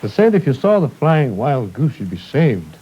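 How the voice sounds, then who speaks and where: Plain-spoken ship's mate. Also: male voice, medium pitch, big pitch swings, moderate pace, husky. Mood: matter-of-fact. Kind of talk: 1950s radio acting. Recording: dull 1950s radio recording.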